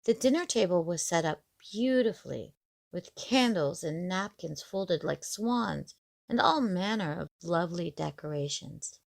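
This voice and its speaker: A woman narrating a scripted story in the first person, in a measured, expressive storytelling manner. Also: fairly low pitch, creaky, dry recording, studio quality.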